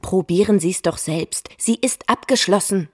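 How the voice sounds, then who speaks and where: A woman's voice: medium pitch, a little slow, husky. An actress playing a bossy, impatient woman in a radio drama. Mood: irritated, demanding. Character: bossy, impatient woman, coaxing then cursing.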